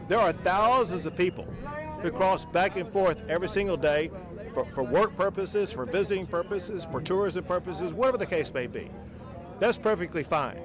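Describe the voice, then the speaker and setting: A man speaking as a governor at a press conference, emphatic and forceful, outdoors by a river. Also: sits high. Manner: forceful, emphatic political speech. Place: outdoors by a river border crossing.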